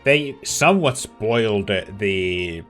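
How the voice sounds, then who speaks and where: Slow, low-voiced male gamer. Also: animated, a bit bright, faint music behind. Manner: hesitant, musing, trailing off. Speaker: let's play streamer. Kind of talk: casual gameplay commentary.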